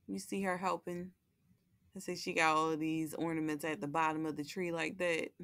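A woman's voice: low voice, flat delivery, moderate pace, fairly bright tone, no room sound, clean studio sound. A woman reading a children's book aloud, with folksy, casual asides to her young listeners.

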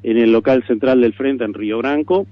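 A man's voice: mid-range voice, normal speed. Uruguayan man on tinny narrowband radio. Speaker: political interviewee. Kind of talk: radio interview, explanatory.

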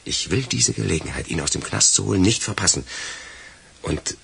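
A man's voice: deep voice, expressive, normal speed, vocal fry, fairly bright tone, a little hiss or hum, exaggerated voice. An actor playing a determined, loyal crook in a German radio crime drama, earnest and persuasive on behalf of his friend. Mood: determined, pleading.

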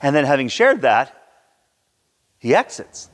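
The voice rings through a long church reverb. It belongs to a man in his sixties at a mid pitch, preaching a sermon. He delivers it theatrically, leaning on key words and using dramatic pauses. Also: big pitch swings. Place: large church sanctuary, indoors at a pulpit.

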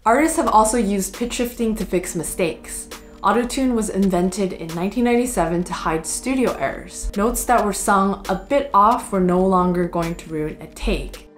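A woman's voice: medium pitch, normal speed, faint music behind. A young American woman hosting with scripted educational narration. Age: young adult. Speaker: host. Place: wood-panelled room indoors.

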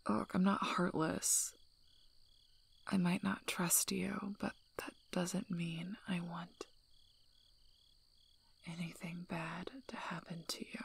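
A woman playing a guarded, gruff but caring young werewolf alpha in a soft-spoken ASMR roleplay, hesitant and often close to a whisper. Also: on the low side, little pitch movement, heavily acted. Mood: awkward, guarded. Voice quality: husky, breathy, often near whisper. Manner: hesitant, halting, understated.

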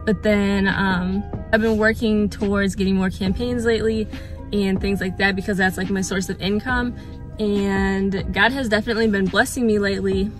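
Brief: woman in her 20s, casual car vlog, earnest rambling chat, mid pitch, phone mic in a parked car. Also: fairly even intonation, moderate pace, over music. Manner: chatty, earnest, many fillers and repeats. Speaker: vlogger. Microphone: phone held at arm's length or mounted on dashboard.

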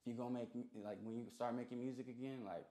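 A man's voice: medium pitch, fairly even intonation, fast, mellow. A young man chatting casually as an interview guest, in slangy, conversational talk with frequent check-in phrases. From Hawaii. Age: young adult. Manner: slangy, peppered with "you know what I mean". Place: small recording studio room. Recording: roomy, echoing sound.

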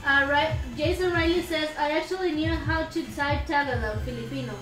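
A woman's voice: sits high, fairly quick. A young woman co-hosting a casual livestream, reading out viewer comments in a giggly manner. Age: young adult. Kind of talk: casual bilingual livestream chat. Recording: roomy echo, music behind. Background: background music.

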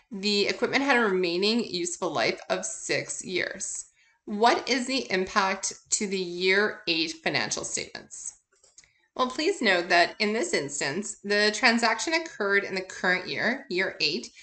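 A woman's voice: mid-range voice, sharp tone. A woman instructor giving an informal recorded lecture, working through examples in a conversational, explanatory way and answering her own questions. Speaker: accounting instructor.

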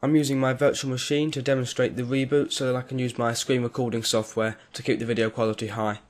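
Man giving calm computer tutorial steps. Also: medium pitch, fast talker. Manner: clear step-by-step instruction. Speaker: tutorial creator. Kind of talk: screen-recorded software tutorial.

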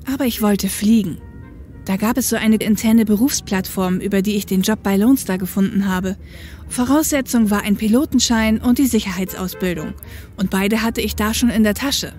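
A woman's voice: mid-range voice, warm tone, natural voice. Woman acting tough, matter-of-fact policewoman, music behind. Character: tough, upright working-class policewoman and ex-biker, grown woman. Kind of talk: German audio drama acting.

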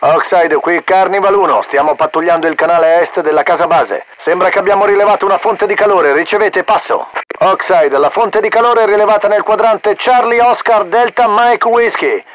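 A man's voice: high voice, normal speed, a bit bright. A man playing an alert mercenary patrolman in a video game, giving clipped reports through a radio filter. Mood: alert, businesslike. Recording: dull military radio transmission.

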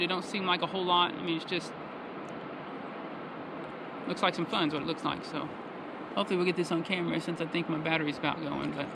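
Middle-aged man, high-ish voice, explains things on the fly outdoors. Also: background noise. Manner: chatty, explains as he paddles. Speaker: kayaking vlogger. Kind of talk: casual outdoor narration to camera. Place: on a river in a kayak, outdoors. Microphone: action camera on the kayak. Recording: loud rushing water behind.

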